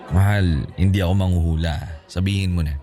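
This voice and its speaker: Man acting a fast-talking, defensive young boyfriend. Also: sits low, fairly even intonation, warm tone, a faint crowd, lightly acted. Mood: impatient. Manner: defensive, insistent. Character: evasive, selfish young boyfriend refusing fatherhood. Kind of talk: Filipino radio drama acting. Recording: music bed behind the voice.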